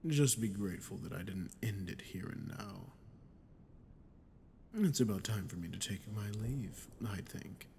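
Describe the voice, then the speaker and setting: A low-voiced, gravelly demon as a man acts him out looms over a human in an ASMR roleplay. He is smug, dominant and deliberate, taunting with cold condescension. A rumbling noise sits behind the voice. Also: animated, mellow. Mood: cold warning. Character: sadistic archdemon, smug, menacing, dominant toward a human. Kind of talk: ASMR roleplay audio acting.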